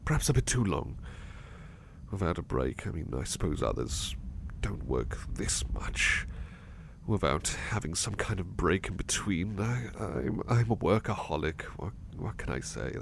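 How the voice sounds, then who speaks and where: A man playing a gentle, anxious, sleepy male servant in a soft ASMR roleplay, speaking hesitantly and repeating his words. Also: low-pitched, big pitch swings, a little slow, vocal fry, slight background noise, exaggerated voice. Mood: hesitant, deferential. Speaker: roleplay voice performer.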